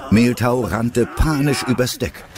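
A man's voice: medium pitch, a little slow, over a noisy crowd. A man narrating a scripted children's adventure story as its narrator.

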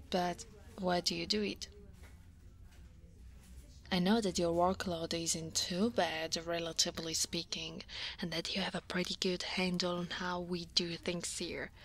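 Woman voicing a slow, soft-spoken weary dragon lady. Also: lowish voice. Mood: weary, grumbling. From Italy. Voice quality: soft, breathy, often half-whispered. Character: weary adult female dragon office senior, easily flustered. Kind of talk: ASMR roleplay.

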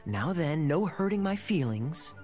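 A man voicing a suave, sly young cavalry captain in an anime video game, smooth and teasing. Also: on the high side, very animated, a little slow, over music. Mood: amused, sly. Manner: theatrical, teasing.

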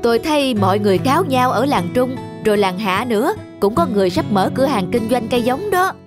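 A woman's voice: on the high side, animated, quick pace, close to an everyday voice. A woman voicing a chatty, nosy middle-aged village man in a cartoon, full of concern. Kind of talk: cartoon dubbing. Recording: music under the dialogue.

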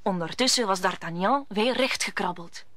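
A woman's voice: mid-range voice, normal speed, fairly bright tone, dry recording. A woman narrating a scripted radio play as a storyteller.

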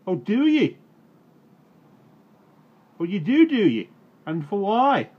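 Man doing a furious stingy farmer yelling, high and loud, muffled. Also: sing-song, takes it slowly, slightly rough, exaggerated voice. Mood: scolding. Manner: shouting, scolding. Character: angry, grasping middle-aged farmer. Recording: dull recording, faint hiss.

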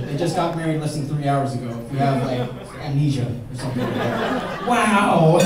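A young man giving a best man's toast at a wedding, reading from a page into a handheld mic and joking at his own expense. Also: on the high side. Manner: joking, self-mocking, pausing for laughs. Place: large banquet hall at a wedding, indoors. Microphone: handheld mic, filmed by a far camera. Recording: echoey hall from far off, crowd laughing.

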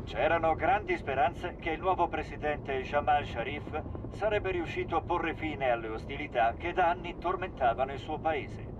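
Man acting a high-pitched newsreader, muffled. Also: normal speed, hardly any pauses, close to an everyday voice. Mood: formal, grave. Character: formal male television newsreader. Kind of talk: scripted news bulletin. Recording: muffled TV sound, vehicle noise.

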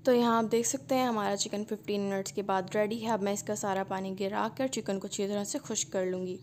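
A woman, hosting a cooking channel and calmly narrating a recipe step by step. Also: mid-range voice, fast talker, a little hiss or hum. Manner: calm step-by-step instruction.

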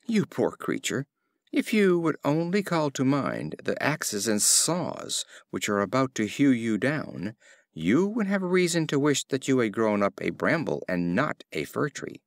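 Man as a sharp-tongued storybook bramble. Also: medium pitch, very animated, a little slow, dry recording, clean studio sound. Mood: scornful warning.

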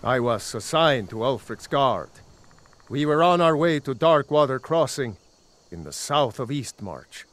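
An actor playing a loyal, earnest, plain-spoken rebel soldier in a fantasy video game. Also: male voice, mid-range voice, a bit bright, no room sound. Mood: grim, weary. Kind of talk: video game dialogue acting.

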